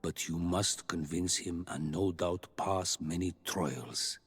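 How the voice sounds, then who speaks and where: An actor playing a solemn, kindly and wise native healer in a fantasy video game, with a husky voice. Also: male voice, low-pitched, takes it slowly, full character voice. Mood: grave, gentle. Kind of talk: video game cutscene acting.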